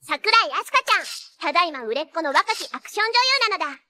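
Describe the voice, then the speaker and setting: A woman's voice: extremely high pitch, expressive, fast, pushed and bright, no room sound, exaggerated voice. A woman playing a perky, cheeky teenage idol magical nurse in an anime, with cutesy catlike tics. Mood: bubbly, excited. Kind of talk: anime character acting and excited narration.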